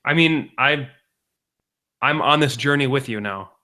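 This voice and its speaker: A man co-hosting a podcast, with a bright, tense voice at middle pitch. He cuts in with teasing jokes and quick asides during casual banter. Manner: joking, teasing interjections. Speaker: podcast co-host.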